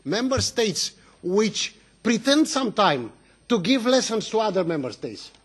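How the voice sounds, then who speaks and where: A man's voice: sits high, very animated, breathy edge. A man from Romania, giving an emphatic, indignant speech as a member of parliament at a committee hearing. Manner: indignant, emphatic, with halting restarts.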